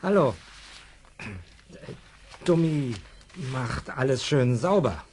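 Radio play role: simple-minded servant, stammering, very husky, painfully slow delivery. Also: male voice, medium pitch, animated, darkish tone, full character voice. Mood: slow, confused. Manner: slow, halting, stammering, repeats words. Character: simple-minded, excitable, childlike male hotel servant. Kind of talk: children's radio play acting.

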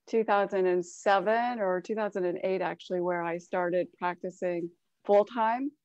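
A woman from Cleveland, Ohio, chats warmly about herself on a video panel with a few ums. Her call audio sounds dry and a little dull. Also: mid-range voice, steady tone, normal speed, dry recording. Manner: friendly, chatty, some fillers. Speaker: artist on a panel. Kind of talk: casual panel talk.

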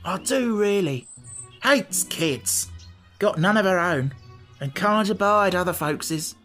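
A reader doing a gruff rustic coachman. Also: mid-range voice, lively intonation, takes it slowly, a bit bright, soft background music, pitched above the performer's own voice. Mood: grumbling. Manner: blunt country dialect, gruff. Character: gruff rustic coachman, stubborn married man.

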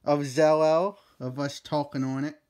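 A man's voice: fairly high pitch, a little slow, smooth. Easygoing man chatting on radio app. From Philadelphia area. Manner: relaxed, easygoing, chuckling asides. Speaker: video maker. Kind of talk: casual chat over walkie-talkie app.

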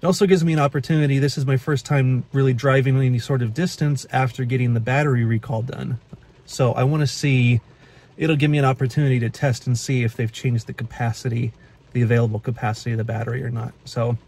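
A man's voice: mid-range voice, steady tone, fast, a little mellow. Chatty man talking from his car. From Pacific Northwest. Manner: chatty, matter-of-fact, many uhs and restarts. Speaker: car vlogger. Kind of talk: casual vlog talk to camera. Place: inside a parked car at night. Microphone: phone camera at arm's length.

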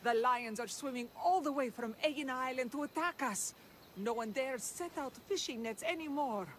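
An actress playing a solemn, grieving older village leader in ancient Greece, in a video game. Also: female voice, high-pitched, moderate pace, a little hiss or hum, close to an everyday voice. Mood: distressed.

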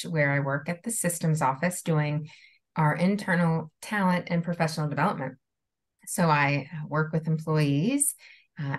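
Low-voiced woman, calm and soothing, panel guest on a video call. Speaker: panelist, college staff trainer. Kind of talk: casual panel discussion. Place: home room, video call. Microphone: webcam or computer mic on video call.

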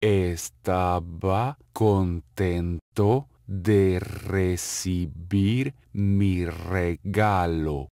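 A man reading short phrases for a language lesson, saying each one slowly and clearly and then repeating it. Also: low-pitched, fairly even intonation, dark tone, dry recording. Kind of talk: slow, carefully enunciated drill reading. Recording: dry, close studio voice-over.

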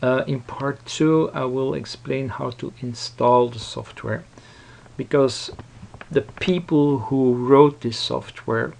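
A man presenting a casual, unscripted software tutorial in a hesitant, rambling manner. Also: medium pitch, takes it slowly, creaky. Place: voice-over recorded at a computer.

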